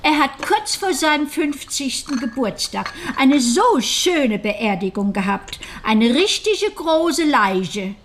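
An actress playing a deferential older housekeeper in a radio crime drama, fussy, solicitous and formal in an old-fashioned way. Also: female voice, high voice, sing-song, slow pace, few pauses, slight background noise, heavily acted.

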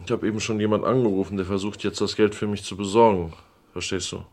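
An actor playing a middle-aged businessman under pressure in a German radio crime drama, measured, persuasive and evasive. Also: lowish voice, steady tone, a little mellow. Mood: coaxing.

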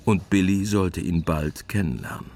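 A man giving scripted literary narration for a radio play in a measured, matter-of-fact manner. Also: low-pitched, a little mellow.

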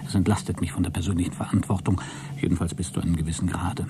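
A man's voice: sits low, steady tone, racing, creaky, noisy background. A man playing a mocking, scheming astronaut in a satirical science fiction radio drama. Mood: secretive, hushed. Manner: sardonic, needling. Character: mocking, scheming male astronaut, resentful of his crewmate.

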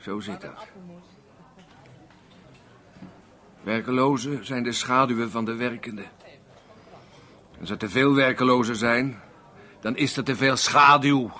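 Gruff, bright-edged cynical carpenter. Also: male voice, mid-range voice, normal speed. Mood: bitter musing. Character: cynical, bitter unemployed working-class carpenter, man. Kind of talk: naturalistic Dutch radio play acting.

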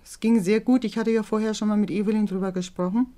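A woman's voice: mid-range voice, steady tone, fast, warm tone. A middle-aged woman giving a plain, earnest, colloquial account of her own experience in an interview. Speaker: interviewed mother. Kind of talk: interview recollection.

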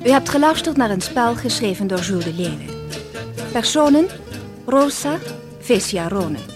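A woman announcer reading radio drama credits in a formal, deliberate, list-like manner. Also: fairly high pitch, sing-song. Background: music.

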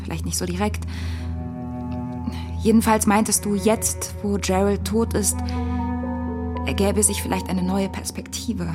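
An actress playing a reluctant woman in a German radio play, gentle but firm, choosing her words in a careful, halting way. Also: medium pitch, fairly even intonation, over music, natural voice. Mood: careful, uneasy.

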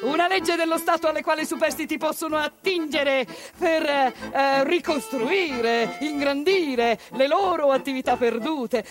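Woman, stage monologue narrator, high voice, dramatic, hushed to declaimed, faint music under.

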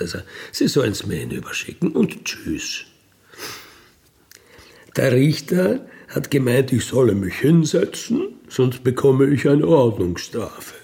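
A man with a gravelly voice, recounting in a blunt, matter-of-fact, colloquial way with emphatic tag questions. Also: mid-range voice, a little mellow. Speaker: ex-convict telling his experiences. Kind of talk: colloquial spoken monologue, recounting.

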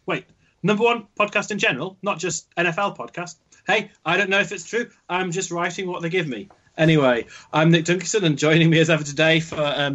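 A man's voice: sits high, fairly bright tone. A man from Yorkshire with an English accent, hosting a casual sports podcast with self-deprecating jokes and plenty of ums. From Yorkshire, England. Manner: jokey, self-deprecating, filler-heavy. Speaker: podcast host. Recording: dull lo-fi sound.

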